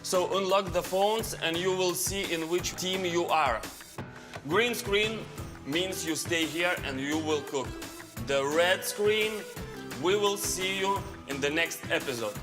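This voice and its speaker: A man announcing deliberately as a judge at a cooking competition. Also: high-pitched, long reverb tail. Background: background music.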